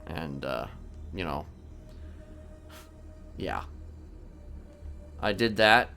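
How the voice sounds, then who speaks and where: A man playing a casually sadistic criminal in an online roleplay, talking in a halting, rambling, matter-of-fact way with casual swearing. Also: sits low, very animated, sharp tone, soft background music, full character voice. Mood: rambling, self-satisfied. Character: rambling, casually sadistic male criminal confessing his violent deeds. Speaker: streamer role-playing a character. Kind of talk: improvised in-character roleplay dialogue. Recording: clear background noise.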